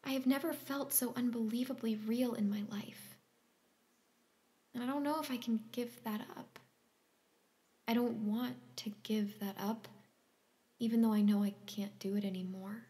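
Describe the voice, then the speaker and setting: An actress playing a haunted woman with dark, reckless desires in a stage drama, often hushed. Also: on the high side, fairly even intonation, moderate pace, long pauses, lightly acted. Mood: anguished, hushed. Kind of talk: stage-play dialogue acting.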